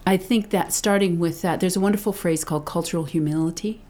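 A woman who illustrates children's books, talking thoughtfully in an interview, often pausing, restarting and laughing. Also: lowish voice, warm tone. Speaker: children's book author and illustrator. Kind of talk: reflective interview talk.

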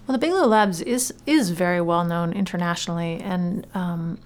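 A woman's voice: on the low side, moderate pace. A woman hosting a talk show, interviewing in a conversational style.

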